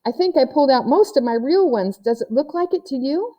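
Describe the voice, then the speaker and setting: Woman acting a haughty young girl, mellow-voiced. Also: high-pitched, expressive, pitched above the performer's own voice. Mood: uncertain. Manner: scornful, affected, quick to chime in. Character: haughty, imaginative young girl putting on airs.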